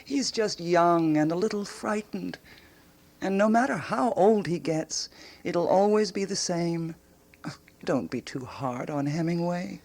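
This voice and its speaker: A woman's voice: fairly low pitch, animated, measured pace, darkish tone. An actress playing an exuberant, self-assured older woman intellectual and amateur sleuth in a radio drama, theatrical and teasing. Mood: gentle, placating.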